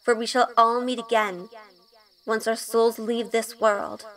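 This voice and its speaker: A woman playing an earnest, sweet young witch heroine who narrates an audio drama in a gentle, measured way. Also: on the high side, flat delivery, big-room sound. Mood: solemn.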